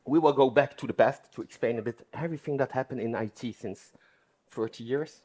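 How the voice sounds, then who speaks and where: A man's voice: medium pitch, a little fast, darkish tone. A half Dutch, half French man with a French accent, joking around as a conference speaker. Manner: playful, joking. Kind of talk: conference talk. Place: conference hall.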